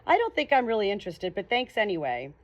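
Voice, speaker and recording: A woman's voice: medium pitch, very animated, quick pace, highs cut off, voice pushed up, lightly acted. A woman voicing a guarded, defiant young female ghost in an audiobook, speaking with control and thinly veiled scorn. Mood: wary.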